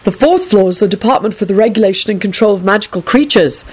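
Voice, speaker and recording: A woman's voice: medium pitch, animated, normal speed, voice pushed up. A woman voicing an earnest, dreamy young man who guides people knowledgeably in an audiobook story. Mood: authoritative.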